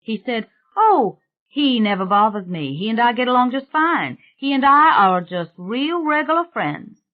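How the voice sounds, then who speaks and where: A woman's voice: fairly high pitch, lively intonation, a little slow, muffled recording, voice pushed up. A woman voicing an unbothered, plainspoken male gardener in an audiobook, folksy and reassuring.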